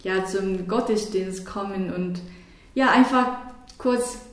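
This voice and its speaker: Korean-accented woman, slow and halting, lots of ums, really echoey room. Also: mid-range voice. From South Korea. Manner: hesitant, many fillers and restarts. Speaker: church worker. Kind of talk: radio interview answers.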